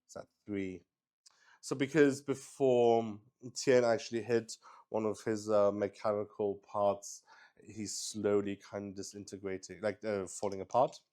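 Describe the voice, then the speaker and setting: A man's voice: lowish voice, steady tone, measured pace, mellow. A man narrating a solo tabletop roleplaying game casually, thinking aloud, mocking himself and swearing freely. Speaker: solo player and game master.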